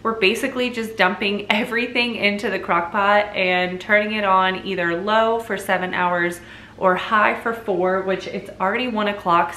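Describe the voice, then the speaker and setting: A woman's voice: medium pitch, pushed and bright, slight background noise. A woman in her thirties, a mom and vlogger, talking casually and upbeat while cooking at home, laughing often. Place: home kitchen, indoors.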